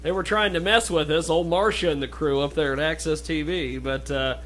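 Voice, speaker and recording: A man's voice: a little high, fairly even intonation, fairly quick, fairly bright tone. A man hosting a radio talk show, interviewing a guest with laid-back, short encouraging replies. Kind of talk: casual interview chat. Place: radio studio.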